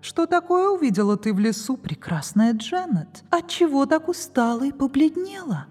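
High, inquisitive court lady voiced by a woman. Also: big pitch swings, normal speed, a little mellow, long reverb tail. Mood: curious. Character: curious court ladies questioning a maiden. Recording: music behind the voice.

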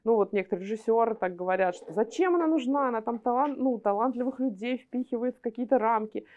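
Higher-voiced woman, soft muffled sound. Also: fast talker, slight background noise. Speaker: animation screenwriter and script editor, interview guest. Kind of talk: explanatory interview talk, informal.

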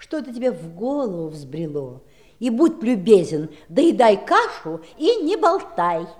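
A woman's voice: medium pitch, big pitch swings, unhurried, darkish tone. A woman playing a prim, strict, haughty nanny in a 1960s audio play, with stern, curt phrasing. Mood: indignant, prim.

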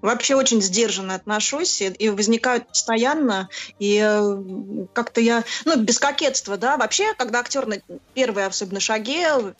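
A woman answering as an interview guest in a conversational, expressive way, explaining with examples and repeated words. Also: on the high side, normal speed, lo-fi sound, soft background music. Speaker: interview guest, actress and film producer.

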